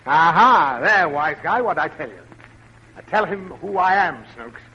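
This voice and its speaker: A man's voice: a little high, expressive, unhurried. An actor playing a fussy, impatient, easily flustered father in a 1940s radio comedy style. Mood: flustered, insistent. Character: exasperated, easily flustered middle-class father. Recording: dull, noisy old radio recording.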